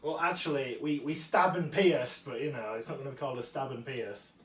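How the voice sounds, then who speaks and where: A man's voice: mid-range voice, brisk. A man with a cold, chatting enthusiastically while unboxing a collectible figure and correcting himself along the way. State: has a cold. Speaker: toy collector vlogger. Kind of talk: casual unboxing chat. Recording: roomy, distant mic.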